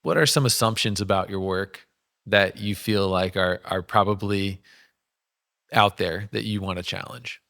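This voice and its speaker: A man hosting an interview, asking rambling questions with enthusiasm and quick laughs. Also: sits low, fairly even intonation, bright, forceful tone, no room sound, studio quality. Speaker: podcast host.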